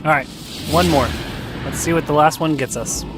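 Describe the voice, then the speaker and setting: A man's voice: fairly high pitch, moderate pace, music in the background. A young man chatting casually while streaming a game, rambling with lots of fillers and joking about food and drinking. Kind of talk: casual gaming stream chat.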